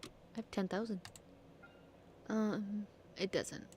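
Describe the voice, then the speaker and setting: A woman's voice: mid-range voice, fairly even intonation, slow pace, a little mellow, a little hiss or hum. A woman streaming a game, muttering blunt, slangy commentary to herself. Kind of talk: casual gameplay commentary. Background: faint game music, keyboard clicks.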